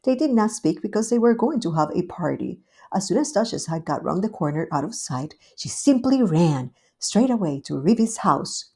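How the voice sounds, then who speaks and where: Gentle, mellow woman reading a storybook. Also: lowish voice, breathy edge. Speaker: storybook reader. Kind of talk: scripted narration of a children's story.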